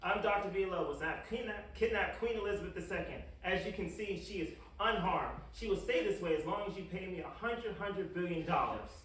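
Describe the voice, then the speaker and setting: An actor playing a bumbling, grandiose mad scientist villain in an animated comedy table read, stammering and indignant. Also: mid-range voice, a little fast, hardly any pauses, lightly acted. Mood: flustered. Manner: flustered, stammering, self-important. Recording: echoey room, distant microphone.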